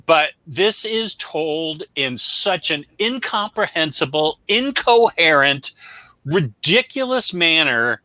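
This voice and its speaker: Bright, forceful man reviewing comics. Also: fairly high pitch, very animated, slow pace, no echo, low-quality recording. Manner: opinionated, blunt, emphatic. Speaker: co-host of a comic book review show. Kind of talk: casual unscripted review chat with viewers.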